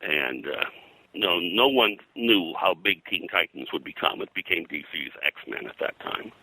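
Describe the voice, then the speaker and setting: Older man, very deep, bright forceful voice. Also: lively intonation, husky, dry recording, poor audio. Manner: halting, with false starts and repeated phrases. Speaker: interview guest, veteran comics production artist. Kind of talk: rambling reminiscence in a casual interview.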